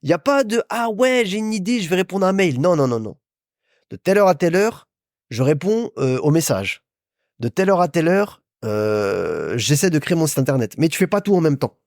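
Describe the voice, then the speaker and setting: A man's voice: a little high, very animated, a little fast, darkish tone, no room sound, clean studio sound. A young man hosting a casual business podcast, talking straight to the listener with lots of repetition and restarts. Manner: chatty, addresses listener directly, repeats himself. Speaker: podcast host. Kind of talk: casual business advice talk.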